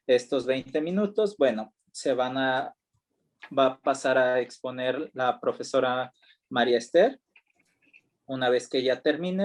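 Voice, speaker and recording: A man with a mid-range voice moderates an online academic panel. He speaks in a measured, formal way, reading introductions and a biography aloud with care. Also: medium pitch.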